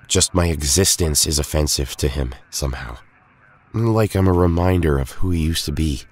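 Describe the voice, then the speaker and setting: Very deep-voiced, earnest young fighter played by a man. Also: moderate pace. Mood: grave, resolute. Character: earnest, weary young male resistance fighter. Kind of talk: audio drama acting.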